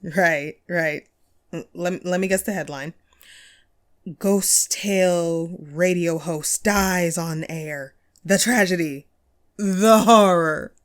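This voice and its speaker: A woman's voice: lowish voice, slow. A woman playing a friendly, wistful ghost who hosted a paranormal radio show, chatty and playful in an intimate audio roleplay. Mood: teasing, warm. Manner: playful, conversational, self-deprecating, breaks into chuckles. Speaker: radio host.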